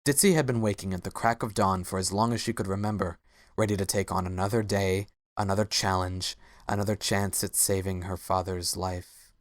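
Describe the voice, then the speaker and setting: A man's voice: deep voice, steady tone, fairly quick, no echo, clean studio sound. A man narrating a scripted story as a dramatic reading.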